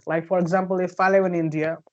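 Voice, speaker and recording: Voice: mid-range voice, flat delivery, quick pace, dull audio. An interview host who asks polite, formally worded questions and answers with short affirmations.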